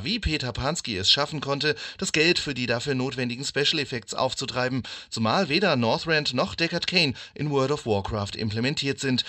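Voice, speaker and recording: A man playing a brisk news anchor in a parody fantasy newscast. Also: medium pitch, sharp tone.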